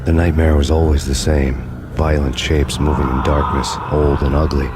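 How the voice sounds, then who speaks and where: Very deep, brooding American noir cop. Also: male voice, normal speed. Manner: deadpan, wry, noir monologue. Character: brooding world-weary hardboiled male cop avenger. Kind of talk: noir video game narration. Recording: music behind the voice.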